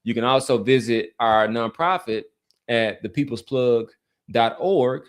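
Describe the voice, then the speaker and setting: A man with a mid-pitched voice hosts a casual sports podcast. He talks in a loose, rambling way, often halting as he looks for the right word. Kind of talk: casual sports chat and community sign-off.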